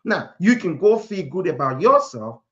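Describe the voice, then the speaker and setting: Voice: mid-range voice, very animated, normal speed, a bit bright, muffled recording. A speaker from Africa preaching a motivational sermon in an emphatic manner, repeating phrases and posing rhetorical questions.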